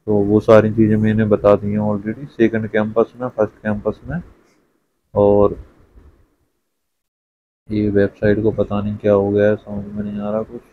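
A man's voice: lowish voice, warm tone, muffled recording, a little hiss or hum. A young man giving a casual screen-share walkthrough as an education YouTuber, chatty and often adding "okay" to check in. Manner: chatty, repeats "theek hai" often.

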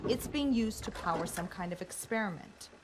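A woman's voice: mid-range voice, lively intonation, normal speed, a little hiss or hum, close to an everyday voice. An actress playing a determined, serious female wartime intelligence officer in a game, formal and grave. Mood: grave, measured. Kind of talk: game cutscene acting.

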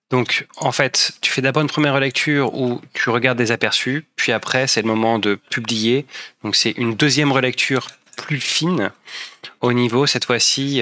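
Bright-voiced young male interviewer. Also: medium pitch, no room sound. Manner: polite, apologetic, clarifying. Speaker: interviewer, host. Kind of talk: interview questions, rephrasing to check understanding.

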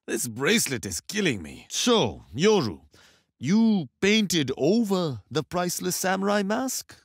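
An actor playing a confident, good-humored Indian soldier hero in a video game, with an Indian accent and a wry, expressive delivery. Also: male voice, high-pitched, a little slow, slightly rough, dry recording, clean studio sound, heavily acted. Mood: wry, playful. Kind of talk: game voice lines, short tactical callouts and quips.

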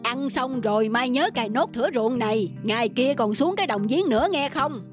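Cartoon folk tale: miserly old master played by a woman, high voice, lecturing and scolding, over music. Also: brisk, lightly acted. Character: stingy, preachy old male landowner. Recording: music behind the voice.

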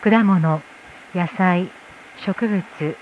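A woman's voice: lowish voice, extremely slow. A woman working as a flight attendant, making a formal inflight announcement over the cabin PA. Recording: tinny cabin PA with noise. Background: cabin noise.

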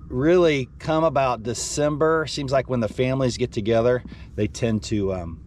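A man's voice: lowish voice, slight background noise. Man chatting on a fishing boat. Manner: relaxed, rambling, lots of ums and restarts. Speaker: fishing guide. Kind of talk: casual fishing vlog chat. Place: outdoors on a boat on a lake. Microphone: headset mic.

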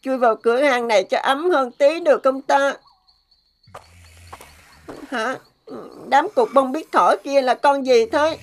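A woman voicing a young, innocent and timid boy in a cartoon, hesitant, pleading and expressive. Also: high-pitched, unhurried, pauses often. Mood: curious, shivering. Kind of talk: cartoon dubbing. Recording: music behind the voice.

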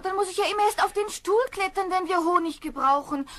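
A woman's voice: very high voice, fast, slight background noise. A woman playing a lazy, greedy, simple-minded peasant wife in a children's fairy-tale radio play, cooing and savoring her words. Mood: pleading.

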